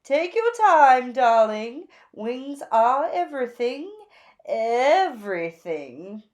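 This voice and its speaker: Flamboyant female bird stylist for a storybook, played by a woman: bossy, gushing, high and slow, stretching key words. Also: sing-song, a little mellow. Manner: theatrical, gushing, drawn-out emphatic words. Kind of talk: children's storybook character voice.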